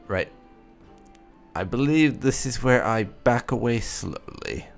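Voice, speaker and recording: A man doing roleplay playthrough commentary in a theatrical, drawn-out way. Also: mid-range voice, lively intonation, vocal fry, faint music behind. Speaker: gaming video host. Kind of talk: roleplay playthrough commentary, reading out character replies.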